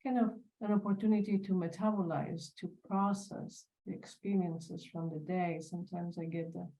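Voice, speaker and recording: A woman on a panel talks in a soft, mellow voice that sits a little low. She is thoughtful and searching, often repeating a word while she finds the next one. The sound is a video call. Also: fairly even intonation, moderate pace, low-quality recording. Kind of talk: reflective panel talk.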